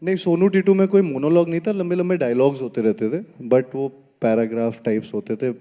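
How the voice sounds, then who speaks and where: A male film actor speaking as a guest in an on-stage interview, chatty and relaxed. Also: mid-range voice, a little fast. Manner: relaxed, chatty, switching into dramatic monologue delivery. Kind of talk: on-stage interview at a live event. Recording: reverberant event hall.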